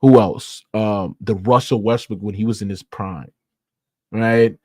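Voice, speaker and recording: A man's voice: fairly low pitch, fairly even intonation, unhurried, a little mellow, slightly rough, no echo. Guy hosting a sports debate, pushy and slangy, keeps hammering the same point, very dry audio. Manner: insistent, argumentative, repeats points, swears freely. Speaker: sports debate show host. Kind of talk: animated sports debate, slangy casual talk.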